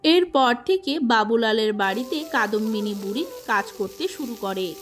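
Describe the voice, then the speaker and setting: Story narrator: female, high-pitched, scripted storybook read over faint music. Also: animated, normal speed.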